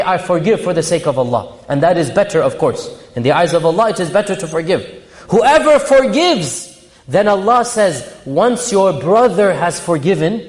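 A man's voice: sits high, darkish tone. A man giving a religious lecture, asking his audience rhetorical questions and reciting Arabic verses. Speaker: Islamic lecturer. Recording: long hall reverb.

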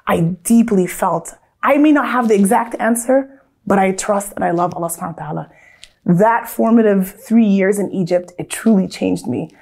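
A woman's voice: medium pitch, normal speed, gravelly, darkish tone. An American woman from Southern California, in her thirties, reflecting earnestly on her life in a casual, storytelling way, with frequent restarts.

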